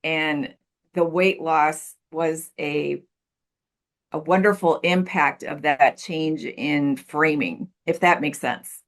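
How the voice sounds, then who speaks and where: A woman's voice: low voice, slow pace. A woman in her sixties, a podcast guest answering thoughtfully over a video call, earnest and repeating words as she searches for them. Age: 60s. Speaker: podcast guest, life coach. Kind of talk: reflective interview answers.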